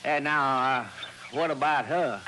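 An actor playing an eager, deferential police sergeant, hesitant and respectful, in a 1960s radio drama. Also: male voice, medium pitch, a little slow, sharp tone. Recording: faintly noisy old radio recording.

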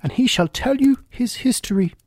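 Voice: medium pitch, expressive, normal speed, a little mellow, no echo, voice pushed up. A reader voicing a furious, bullying Queen who gives threatening commands in a storybook. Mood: bossy.

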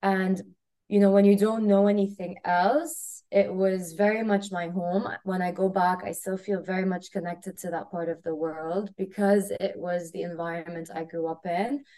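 A woman's voice: lowish voice, steady tone, normal speed. A woman from Kuwait, a spiritual coach, talking as a podcast guest in a reflective, casual way with lots of "like" and "you know.". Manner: reflective, many "like" and "you know" fillers, trailing off quietly. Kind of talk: casual interview chat.

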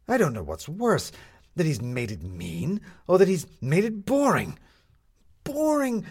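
Guy doing a blustery indignant schemer in a story, theatrical, pitch jumping around, husky. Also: wildly swooping pitch, a little slow, darkish tone, full character voice. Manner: blustering, emphatic, declamatory. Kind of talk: dramatized storybook reading.

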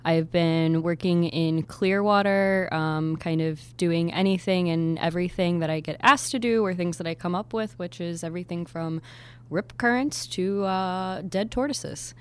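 A woman's voice: fairly low pitch, measured pace, clear, resonant tone. A woman in her twenties from Baltimore, a newspaper intern chatting on a podcast in a light, self-deprecating way. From Baltimore, Maryland. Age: 20s. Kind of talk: casual podcast conversation.

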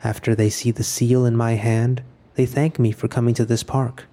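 A man acting a resigned, solitary narrator in scripted audio fiction, speaking in a measured, introspective way about his own final day. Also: mid-range voice, little pitch movement, dark tone, lightly acted. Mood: quiet resignation.

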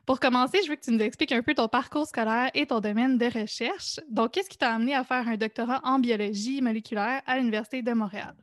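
A woman's voice: a little high, quick pace, hardly any pauses. A woman hosting an interview podcast and reading out polished introductions and questions. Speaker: podcast host.

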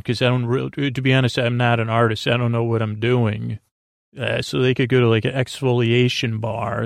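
A man hosting a bedtime podcast in a rambling, improvised monologue, hesitant and halting with false starts, musing whimsically as he goes. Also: mid-range voice, creaky, no echo, clean studio sound. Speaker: podcast host.

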